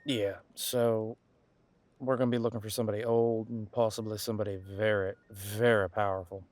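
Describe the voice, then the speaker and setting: A man playing a centuries-old, easygoing detective in an audio roleplay, talking casually and folksily as he muses aloud with pauses. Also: lowish voice, level tone, darkish tone, natural voice. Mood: explaining. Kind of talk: detective roleplay audio, one side of a conversation.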